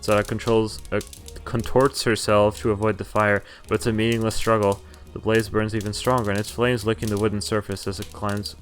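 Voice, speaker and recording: Low-voiced man reading a game story aloud. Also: flat delivery, fairly quick. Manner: stumbles over words and corrects himself, swears casually. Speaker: streamer reading a visual novel aloud. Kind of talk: dramatic read-aloud of game narration and dialogue with casual asides. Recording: music behind the voice. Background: game music.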